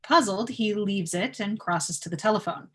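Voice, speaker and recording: A woman's voice: mid-range voice, moderate pace. A woman reading stage directions aloud as the narrator of a live table read.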